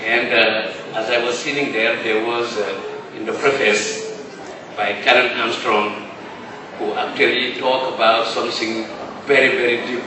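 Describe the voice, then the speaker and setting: A professor from Senegal asking a formal, halting question from the floor of a large hall. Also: male voice, mid-range voice, fairly even intonation. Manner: formal, halting, hesitant fillers. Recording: echoey hall, distant mic, noisy.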